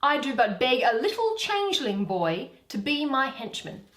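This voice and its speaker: A woman voicing a commanding, scheming fairy king in deliberate, imperious Shakespearean verse. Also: sits high, big pitch swings, a bit bright, reverberant, a little hiss or hum.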